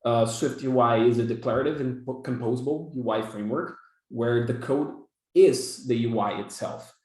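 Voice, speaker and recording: A man's voice: mid-range voice, moderate pace, darkish tone. A young man from Brazil with a Brazilian Portuguese accent, teaching a workshop over a video call and stumbling through fillers and repeated words. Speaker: workshop instructor. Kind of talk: live coding workshop lecture. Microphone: video call webcam. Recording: echoey room on a video call.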